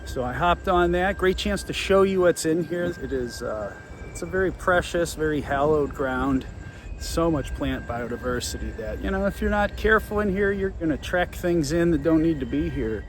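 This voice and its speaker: A man's voice: fairly high pitch, animated, moderate pace. Man hosting outdoors, insects and noise behind. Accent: American. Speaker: host of local nature exploration videos. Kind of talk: casual on-location vlog narration with history asides. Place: outdoors in a nature preserve.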